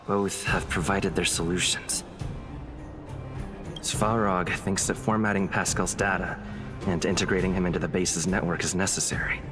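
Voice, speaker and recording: A low-voiced young adventurer played by a man thinks aloud in measured, reflective phrases. He is a thoughtful video game hero, with clear music playing underneath. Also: steady tone, lightly acted. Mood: pensive. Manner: measured, reflective inner monologue. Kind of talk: scripted video game narration.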